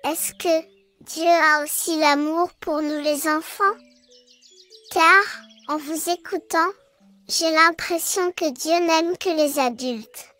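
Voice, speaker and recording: A performer voicing a curious little girl who asks slow, questioning things in a cartoon. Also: very high voice, steady tone, pushed and bright, faint music behind, exaggerated voice. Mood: puzzled. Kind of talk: cartoon dialogue.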